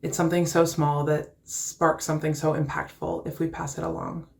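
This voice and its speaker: A woman's voice: sits low, little pitch movement, normal speed, gravelly, a little mellow. A young adult woman giving a personal reflection for church, prepared but conversational.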